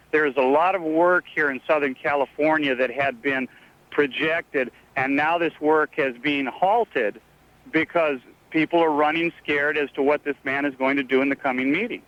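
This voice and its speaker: Radio caller, male. Somewhat high voice, earnest, halting phrasing, dull lo-fi phone audio. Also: a bit bright. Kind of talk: unscripted radio call-in. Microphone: telephone.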